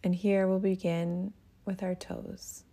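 Slow, mellow woman guiding meditation. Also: mid-range voice, flat delivery. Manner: gentle, soothing, breathy phrase endings. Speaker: meditation teacher. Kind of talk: guided meditation.

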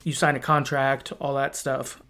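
A man hosting a casual podcast chat about video games, talking in a halting, conversational way. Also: medium pitch, little pitch movement, bright, forceful tone. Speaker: podcast host. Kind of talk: casual video game discussion.

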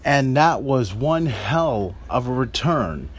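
A man's voice: medium pitch, animated, slow pace. Male podcast host, forties. Casual, loose, emphatic commentary, slightly noisy recording.

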